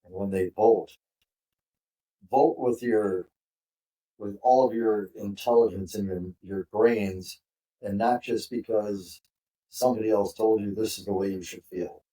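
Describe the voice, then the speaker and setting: A man with a very dark, mellow, somewhat low voice co-hosts a casual podcast. He speaks bluntly and emphatically, urging his listeners to act. He sounds set back from the microphone. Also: steady tone, unhurried, distant mic, rough recording. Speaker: podcast co-host. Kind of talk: casual political discussion.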